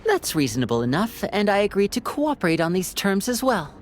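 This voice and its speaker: A woman's voice: mid-range voice, big pitch swings, a little hiss or hum, natural voice. A woman playing a poised, polite and calculating young man in a video game, with music behind the voice. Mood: composed, businesslike. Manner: polite, measured, articulate. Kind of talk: video game character acting.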